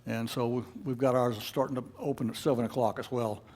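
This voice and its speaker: A man leading a club meeting, giving informal announcements in a halting, unscripted way. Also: medium pitch, steady tone, a little mellow. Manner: halting, hesitant, unscripted delivery. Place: club meeting room with an audience.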